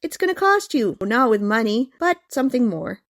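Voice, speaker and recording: A woman's voice: on the high side, big pitch swings, moderate pace, a bit bright, no echo, clean studio sound. An actress in a comic radio play playing a smug, scheming young woman with a sardonic, haughty, taunting manner.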